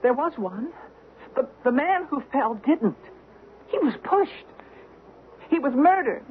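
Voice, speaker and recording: Excitable young American wife, animated delivery. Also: female voice, high voice, slow, full character voice. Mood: shocked, urgent. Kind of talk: old-time radio drama acting. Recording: tinny, band-limited vintage radio, hissy.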